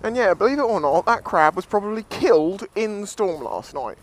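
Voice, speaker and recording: A man's voice: sits high, lively intonation, fairly quick. A British man giving casual, jokey, sweary commentary as he vlogs outdoors on a seashore. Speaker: beachcombing vlogger.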